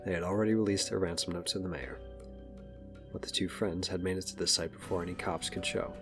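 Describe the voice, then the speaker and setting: A man with a low, deep voice narrates a scripted story. Soft music plays behind his narration. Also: fairly even intonation, fast.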